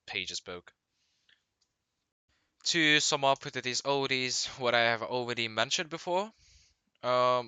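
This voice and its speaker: A young man whose first language is Turkish, speaking English with a Turkish accent as a vlogger giving reading tips, halting and restarting often as he searches for words. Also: on the low side, sharp tone, smooth, no room sound. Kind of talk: casual talk to camera.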